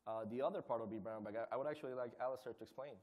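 Quick-talking male panelist. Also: medium pitch, dull audio. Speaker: panelist, community volunteer. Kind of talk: conference panel talk.

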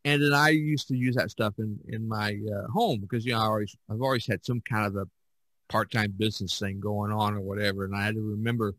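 A middle-aged man chatting casually as a podcast host, rambling and halting as he searches for words. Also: fairly low pitch, steady tone, a bit bright, dry recording. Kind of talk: casual chat.

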